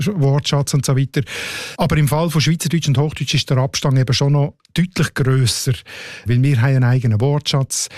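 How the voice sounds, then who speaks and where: A man's voice: a little high, a little mellow, dry recording. Swiss german guy explaining stuff on the radio, mid voice, keeps saying oder?, dry close sound. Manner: explains patiently, often adds a questioning "oder?". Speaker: language expert on the show.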